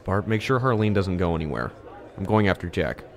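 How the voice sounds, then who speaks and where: A man's voice: sits low, animated, a little fast. A man playing an earnest, brooding teenage boy detective in an audio drama, serious, polite and understated. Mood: urgent.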